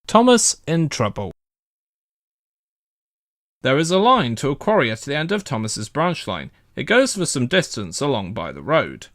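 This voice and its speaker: A man's voice: mid-range voice, huge pitch swings, a little slow, a bit bright, dry recording, clean studio sound. A man narrating a children's story from a script as a storybook reader.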